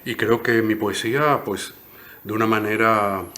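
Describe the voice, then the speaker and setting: A man's voice: lowish voice, fairly even intonation, a little slow, pushed and bright, a little hiss or hum. A man in his sixties from Cuba, answering interview questions thoughtfully and often ending phrases with a questioning tag. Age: 60s. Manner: thoughtful, frequent "¿no?" tags. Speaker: interview guest, poet.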